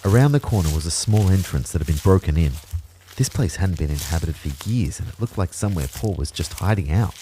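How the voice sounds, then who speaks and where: A man's voice: deep voice, animated, fairly quick, dark tone, a little hiss or hum. An actor playing an anxious everyman who narrates a sci-fi audio drama in a wry, understated way. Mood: wry, uneasy. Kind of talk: scripted first-person audio drama narration.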